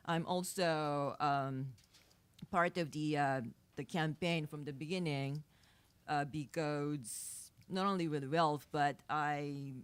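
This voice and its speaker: Soft-spoken low-voiced Japanese woman. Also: fairly even intonation, slow, clear, resonant tone. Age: 50s. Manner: hesitant, many fillers and restarts, soft-spoken with nervous laughs. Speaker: panelist at a press conference. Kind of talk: unscripted press conference remarks. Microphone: podium microphone.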